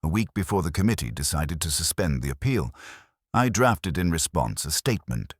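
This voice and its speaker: A man voicing an apologetic, very deep-voiced village vicar. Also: big pitch swings, normal speed, husky, dry recording, studio quality, full character voice. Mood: rueful. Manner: wordy, mild, self-deprecating. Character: anxious, apologetic, gently evasive male village vicar.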